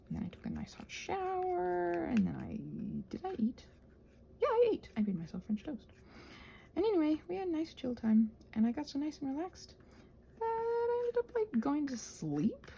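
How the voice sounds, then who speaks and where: A woman's voice: high-pitched, gravelly, mellow, a little hiss or hum. A woman chatting casually in a whimsical, digressive way while she does crafts. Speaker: craft vlogger.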